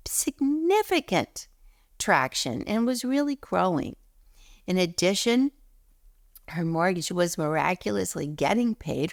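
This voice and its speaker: A middle-aged woman, a spiritual teacher, speaking in an earnest teaching talk, a little hoarse while recovering from illness, slowing down to stress words. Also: lowish voice, no echo, clean studio sound. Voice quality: froggy, gravelly.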